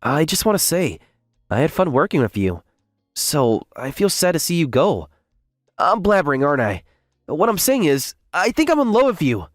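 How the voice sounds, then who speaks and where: A man's voice: fairly high pitch, very animated, moderate pace, no echo, studio quality, soft background music. A man playing an earnest, bashful young office worker who also narrates a manga romantic comedy dub. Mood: bashful, tender. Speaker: narrator and lead character. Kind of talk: manga dub acting with first-person narration.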